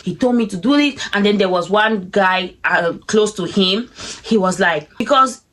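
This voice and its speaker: A young Cameroonian woman telling a story in a casual, animated way, laughing often and acting out what people said. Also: medium pitch, normal speed. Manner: animated, laughs often, acts out quoted speech.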